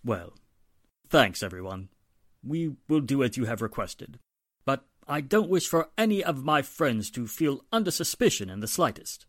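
A genteel, courteous older patriarch played by a man in an old radio drama. His medium-pitched voice rises and falls expressively, recorded dry and close. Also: big pitch swings, normal speed, no room sound. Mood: formal, regretful. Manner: formal, courteous, hesitant. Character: genteel, apologetic older male society patriarch. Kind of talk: 1940s radio drama acting.

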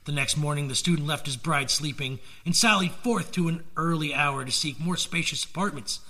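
A man narrating a gothic tale as a storyteller, measured and theatrical. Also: a little high, bright, forceful tone. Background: musical score.

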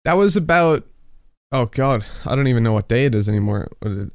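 Young Irish caster with a mixed accent. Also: male voice, medium pitch, expressive, brisk, a little hiss or hum. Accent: mixed, neutral Irish-American. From Ireland. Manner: chatty, self-deprecating, frequent restarts. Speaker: esports caster. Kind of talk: casual interview answers.

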